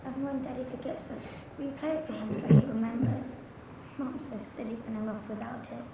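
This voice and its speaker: A woman's voice: on the high side, fairly even intonation, a little fast, creaky. A frightened little girl. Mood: scared pleading. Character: small frightened girl, lonely and pleading. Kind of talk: video game character dialogue. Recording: distant echoey game audio with noise.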